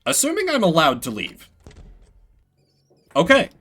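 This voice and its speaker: Animated man chatting over game music. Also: high voice, a little slow. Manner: rambling, self-deprecating, playful asides. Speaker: game streamer. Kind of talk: casual live gameplay commentary and chat.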